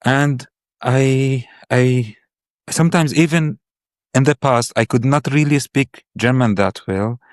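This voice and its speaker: A man whose first language is Arabic, hosting a podcast and asking reflective questions in a thoughtful, searching way, with pauses and repeated words. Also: mid-range voice, no room sound, studio quality. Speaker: podcast host.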